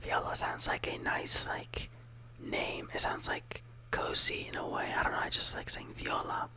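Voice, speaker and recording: A speaker whispering a casual ASMR lesson, softly repeating words and rambling with lots of fillers. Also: normal speed. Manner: soft whispering throughout. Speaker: teacher of Italian words.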